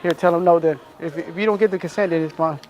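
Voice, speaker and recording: A man's voice: a little high, steady tone, fast, crowd noise, rough recording. A man in casual improvised prank banter, playing a store employee, insistently prodding and repeating himself. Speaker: prankster posing as store employee with walkie-talkie.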